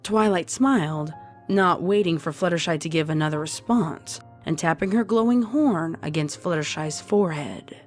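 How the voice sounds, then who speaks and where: A woman narrating a scripted horror story in a deliberate, dramatic way. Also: lowish voice, darkish tone, faint music behind.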